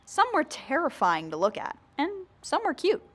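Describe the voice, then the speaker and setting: A woman's voice: sits high, huge pitch swings, normal speed, a bit bright, heavily acted. A woman playing a young female zombie who narrates her own story in an earnest, plain audio drama style. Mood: reflective. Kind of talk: first-person scripted narration.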